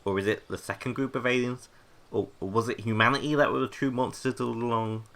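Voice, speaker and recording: An actor playing a bewildered, chatty young intern stranded in another universe, rambling and questioning in an audio drama. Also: male voice, medium pitch, fairly even intonation, brisk, a bit bright, natural voice. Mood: puzzled.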